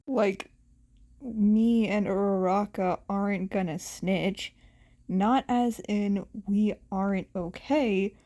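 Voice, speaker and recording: Deadpan teen boy played by a woman, lowered pitch, blunt and dry, audio storybook. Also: slow, close to an everyday voice. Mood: flat, reassuring.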